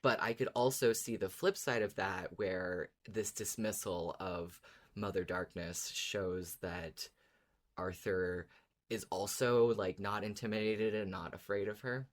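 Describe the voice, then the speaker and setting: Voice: sits low, fairly even intonation, measured pace. Casual, conversational podcast co-host. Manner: conversational, arguing a case. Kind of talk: casual discussion of a story podcast, reading listener comments.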